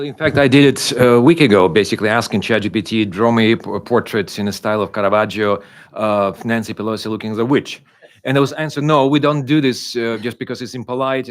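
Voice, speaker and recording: A male professor on a conference panel, telling an anecdote animatedly and acting out the dialogue. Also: medium pitch. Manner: animated storytelling with quoted dialogue.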